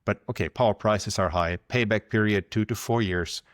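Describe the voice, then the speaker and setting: A man from Germany with a German accent, explaining things in a measured way as a podcast guest. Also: on the low side, no echo, clean studio sound. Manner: measured, explanatory, numbers-driven. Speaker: guest, company founder. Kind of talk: podcast interview.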